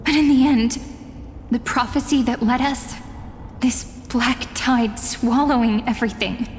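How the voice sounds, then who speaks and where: An actress voicing a sorrowful young heroine in a game cutscene, soft and reflective. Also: female voice, fairly high pitch, measured pace, big-room sound, a little hiss or hum, natural voice. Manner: soft, mournful, reflective delivery with sighs.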